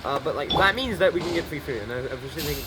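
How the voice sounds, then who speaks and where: A young man giving rambling, excitable gameplay commentary at home, swearing freely as he plays. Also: on the high side, fast, very noisy. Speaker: gaming streamer. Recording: voice over loud game audio. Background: loud game music.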